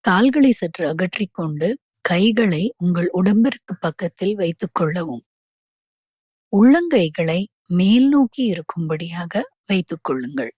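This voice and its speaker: A woman guiding a yoga nidra relaxation, giving calm, measured instructions with pauses between phrases. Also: fairly low pitch, breathy edge, no room sound. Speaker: yoga nidra meditation guide.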